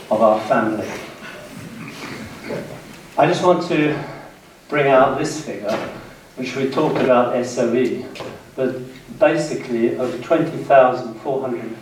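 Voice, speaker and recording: A man in his sixties with a British accent gives a public history lecture in a measured way, pausing between short phrases. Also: medium pitch. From United Kingdom, west of London. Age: 60s. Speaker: guest lecturer. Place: indoor seminar room, speaking to an audience. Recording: very echoey room, distant mic, faint noise.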